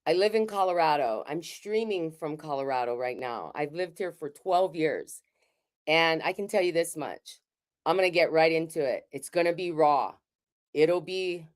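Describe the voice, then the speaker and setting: A middle-aged woman from Hawaii giving a candid, conversational keynote talk over a video call from her home studio. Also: sits low. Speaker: keynote speaker. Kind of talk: raw personal keynote talk.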